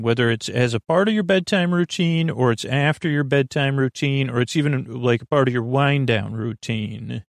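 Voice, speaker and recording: A man hosting a sleep podcast in an unscripted, meandering monologue, halting, repeating himself and trailing off mid-thought. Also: medium pitch, vocal fry, no room sound, studio quality. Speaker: podcast host.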